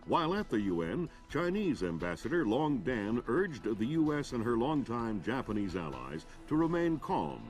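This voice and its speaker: An actor playing a composed TV news anchor, reading world events in a measured, formal way. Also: male voice, medium pitch, darkish tone. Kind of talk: scripted news narration.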